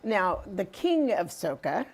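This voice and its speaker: A woman's voice: medium pitch, very animated, slow, darkish tone, a little hiss or hum. A woman hosting a TV interview, asking questions and giving frequent short affirmations. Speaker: TV show host. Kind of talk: interviewing a guest. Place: TV studio.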